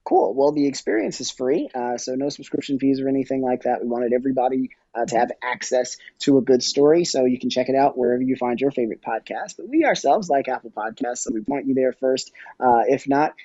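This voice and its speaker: A man giving conversational interview answers as a podcast guest, discursive and halting as he thinks aloud. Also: medium pitch, expressive, dark tone, husky, dry recording, dull audio. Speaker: podcast guest, audio storytelling company executive.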